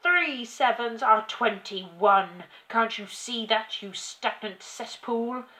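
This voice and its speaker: A domineering headmistress roars in a loud, high-pitched voice. She is a bullying tyrant, drawing out her words with furious, scornful emphasis. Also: exaggerated voice, low-quality recording. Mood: furious, bellowing. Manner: bellowing, emphatic insults with drawn-out words. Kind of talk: children's storybook character reading.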